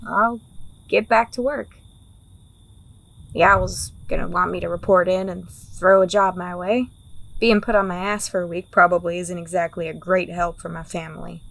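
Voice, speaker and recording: A woman's voice: fairly low pitch, fairly even intonation, moderate pace, creaky, a bit bright, rough recording. A woman playing a world-weary, pragmatic underworld operative in a fantasy audio drama, blunt and wry with a teasing edge.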